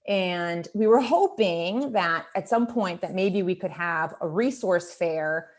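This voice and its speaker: A woman physician whose first language is Lithuanian speaks on a video call in a slightly low voice. She is earnest and strings her questions together, often restarting mid-sentence. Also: slow. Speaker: emergency physician presenting for a hospital team. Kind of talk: panel presentation on a video call.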